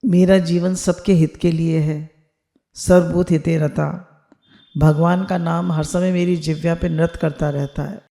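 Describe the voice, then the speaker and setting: A woman's voice: fairly low pitch, steady tone, unhurried, creaky, mellow. A woman spiritual teacher on a video call, leading listeners through affirmations they repeat after her, mixing Hindi with English phrases.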